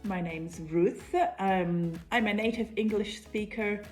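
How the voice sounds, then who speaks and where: New woman, middle-aged English teacher, unhurried and clear, mid-pitched voice. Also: darkish tone, soft background music. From New Zealand. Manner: clear, measured explanations with repeated examples. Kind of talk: online English lesson.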